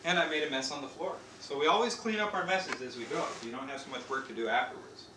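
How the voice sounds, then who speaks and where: A man's voice: on the high side, animated, quick pace. American man hosting an echoey home cooking demo. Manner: playfully presenting, explaining step by step. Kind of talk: casual cooking demonstration. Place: home kitchen, indoors. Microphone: camcorder mic a few feet away. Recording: noisy, echoey home camcorder audio.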